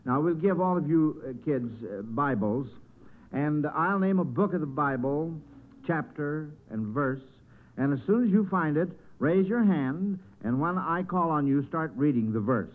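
A man's voice: fairly high pitch, animated, a little slow. A man with an American accent hosting an old-time radio quiz in a folksy, encouraging, chatty manner. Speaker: quiz show host. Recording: muffled narrow-band vintage radio recording.

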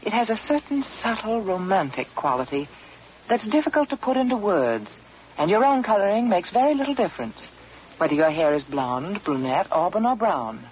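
A woman giving a polished, emphatic scripted sales pitch in the style of a 1940s radio advertisement. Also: sing-song, normal speed. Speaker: commercial spokeswoman. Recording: muffled, noisy old radio recording.

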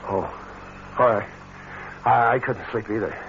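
Evasive radio-drama crook, muffled recording. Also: male voice, on the low side, a little slow, creaky. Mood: hesitant, tender. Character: smooth-lying male crook posing as honest young artist. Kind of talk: 1940s radio drama acting. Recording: muffled, faintly noisy old radio recording.

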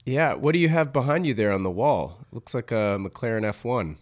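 A man's voice: mid-range voice, animated, normal speed. A man hosting a podcast, chatty and enthusiastic as he interviews his guest. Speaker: podcast host. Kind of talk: casual interview chat.

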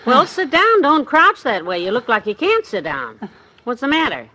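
Caring wife in old radio comedy, high bright voice, bit of teasing. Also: female voice, wildly swooping pitch, normal speed, full character voice, low-quality recording. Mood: concerned. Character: patient, concerned, gently teasing wife. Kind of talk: old-time radio situation comedy acting.

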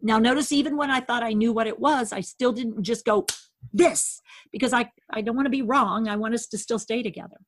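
A woman educator explains in a lively, casual way, playing out both sides of a conversation as she talks. Her voice sits fairly high and has a husky edge. The recording is dry and close, with no room sound. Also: fairly quick, no echo. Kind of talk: casual teaching chat.

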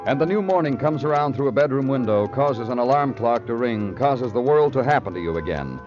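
An actor playing a brooding, poetic hard-boiled police detective in a measured, solemn radio crime drama style. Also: male voice, medium pitch, expressive, natural voice. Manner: measured, solemn, literary delivery. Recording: dull old radio recording with music.

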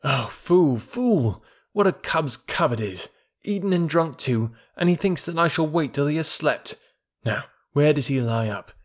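A man's voice: a little high, animated, measured pace, voice pushed up. Man doing an eager, commanding jungle boy. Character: bold young jungle boy herding buffalo.

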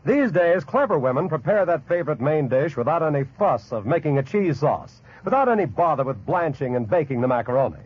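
An American man reading a 1940s radio advertisement as a commercial announcer, with a husky voice. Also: a little high, sing-song, fairly quick, few pauses. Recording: dull vintage 1940s radio recording.

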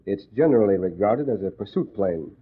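An actor playing a calm, authoritative army colonel who explains things in a measured way, in a 1940s radio drama. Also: male voice, on the low side, breathy edge. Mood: assured. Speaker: air force colonel. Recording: noisy lo-fi old radio broadcast.

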